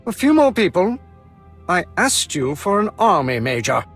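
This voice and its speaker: Husky, commanding colonel. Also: male voice, high-pitched, sing-song, normal speed, fairly bright tone, soft background music, exaggerated voice. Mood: angry, impatient. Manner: clipped, commanding. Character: stern, impatient male imperial colonel. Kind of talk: video game voice acting.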